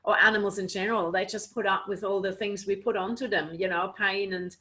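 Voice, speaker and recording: A woman's voice: medium pitch, steady tone, a little fast, a bit bright. A woman from Switzerland, a guest animal communicator, answering interview questions in an earnest, explaining way with many "you know"s, over a video call.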